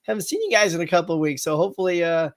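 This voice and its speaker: A middle-aged man hosts a relaxed live morning chat, his voice sitting a touch high. He sounds a little congested and rambles along, restarting sentences and tossing in plenty of fillers. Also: very fast. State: slightly congested. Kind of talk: casual live-stream chat with viewers.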